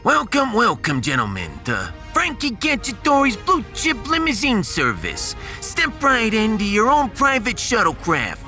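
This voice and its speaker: A man's voice: high-pitched, wildly swooping pitch, measured pace, few pauses, pushed and bright, soft background music, pitched above the performer's own voice, heavily acted. A man voicing a wisecracking shuttle pilot with showy limo-driver patter in a science fiction audiodrama. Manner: showy mock-announcer salesmanship.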